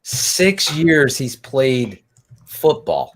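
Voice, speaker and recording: A man's voice: mid-range voice, big pitch swings, drawn-out words, a little hiss or hum. A man co-hosting a casual sports talk show, telling stories animatedly and laughing often. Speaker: podcast co-host.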